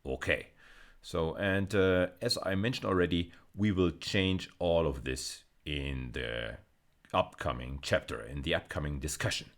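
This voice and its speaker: A man lecturing in an explanatory style, pausing and repeating words as he goes, with emphatic stresses. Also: rumbling low. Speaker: university lecturer.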